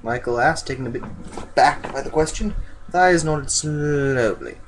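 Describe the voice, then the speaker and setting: A man's voice: mid-range voice, normal speed, a little hiss or hum. A man reading a story aloud as an informal amateur dramatic narrator, sometimes stumbling and correcting himself.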